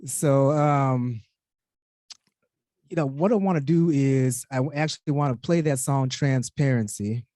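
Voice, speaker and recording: A man with a mid-pitched, mellow voice hosts a casual music interview. He talks in a measured way with hesitant pauses, recorded close and dry. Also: no room sound. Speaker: music show host.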